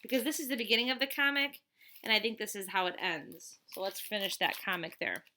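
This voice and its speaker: A woman's voice: mid-range voice, fairly quick, pushed and bright. A woman reading a children's storybook aloud as a teacher would, expressively and with chatty asides.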